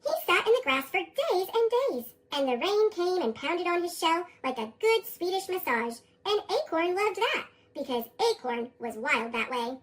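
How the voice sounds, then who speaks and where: Very high chipmunk-effect American storybook narrator. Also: moderate pace, few pauses. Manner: animated, expressive. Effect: sped-up chipmunk voice effect. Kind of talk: scripted children's read-aloud narration.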